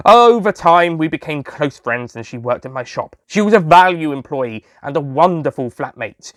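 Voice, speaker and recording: A man's voice: on the high side, expressive, moderate pace, fairly bright tone, no room sound, clean studio sound. A man playing a jolly, sentimental male sweet-shop owner who tells his own story in a fond, theatrical manner for an audio drama. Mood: fond, reminiscing.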